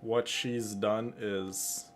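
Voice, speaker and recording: A man's voice: lowish voice, level tone, drawn-out words, faint music behind. A man doing casual, rambling and emphatic live commentary as he plays a game, easily amused. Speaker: game streamer.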